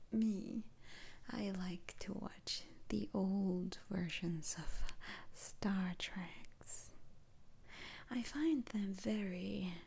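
A woman playing a soothing, eccentric witch doctor in a whispered ASMR roleplay, speaking in a hushed, halting way. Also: medium pitch, creaky, breathy edge, full character voice. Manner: slow, hushed, halting delivery with sighs.

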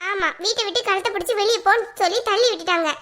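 An exasperated young mother in a family drama, acted by a woman, with a very high, bright voice. She talks bluntly and colloquially, like someone used to scolding. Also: racing. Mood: irritated, scolding. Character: exasperated young mother, scolding and threatening her little daughter.

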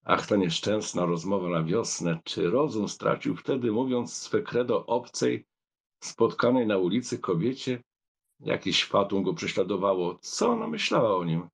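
Man, literary narration, lively intonation, creaky voice, expressive, measured storyteller. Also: on the low side. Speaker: audiobook narrator.

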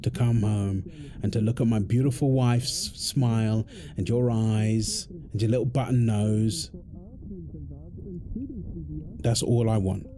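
A man playing an affectionate, tired husband and father in an intimate audio roleplay, talking tenderly with soft endearments. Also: on the low side, steady tone, a little slow, crowd noise, natural voice. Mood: tender, playful. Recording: clear background ambient noise.

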